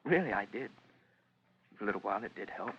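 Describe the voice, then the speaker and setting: An actor playing an insecure, intense, sensitive young British man in a 1950s radio drama, earnest and halting. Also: fairly low pitch, lively intonation, slightly rough. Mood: earnest, wounded. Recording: muffled vintage radio recording with hiss.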